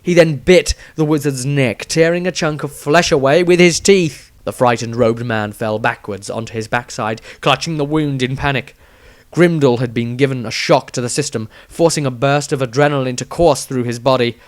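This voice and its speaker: A man's voice: mid-range voice, moderate pace, no room sound. A man reading a scripted fantasy adventure aloud as a storyteller, with dramatic emphasis. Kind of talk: scripted audiobook narration.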